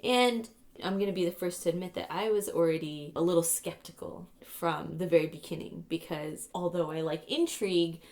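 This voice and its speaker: A woman's voice: fairly low pitch, moderate pace, darkish tone. A woman chatting casually and emphatically as a panelist on a board game review podcast, in a playful way.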